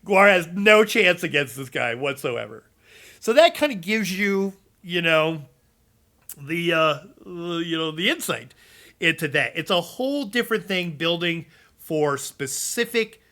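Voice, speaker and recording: A man's voice: on the high side, expressive, measured pace, pushed and bright. A man chatting casually about game builds, rambling with many fillers and restarts, and losing his voice. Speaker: gaming content creator.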